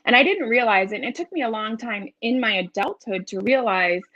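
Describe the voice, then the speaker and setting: A woman's voice: on the high side, animated, fairly quick, fairly bright tone, no room sound, dull audio. A middle-aged woman with a New England accent speaking frankly and emphatically as a guest in a candid conversational interview. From New England, United States. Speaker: interview guest, author in recovery.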